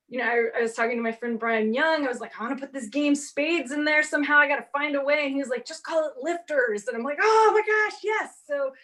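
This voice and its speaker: A woman in her forties whose first language is Portuguese, telling an animated story on a convention panel and acting out the exchanges she quotes. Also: fairly high pitch. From half Brazilian, grew up speaking Portuguese. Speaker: panelist author. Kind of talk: casual panel talk.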